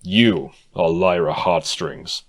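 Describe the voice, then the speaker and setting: Stern pegasus guard, acted by a man, low voice, flat, formal, commanding, fantasy storybook. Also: slow, heavily acted. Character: large, stern male pegasus royal guard.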